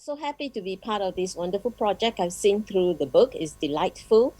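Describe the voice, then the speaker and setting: A woman with a Malaysian accent giving an informal speech as a publisher, conversational and pausing as she goes. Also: medium pitch, big pitch swings, over loud music, rough recording. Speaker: publisher and guest speaker.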